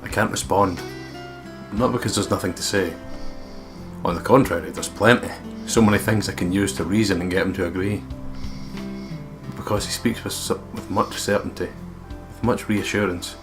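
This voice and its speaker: A man reading visual novel narration aloud as a let's play host. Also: low voice. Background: game music with guitar.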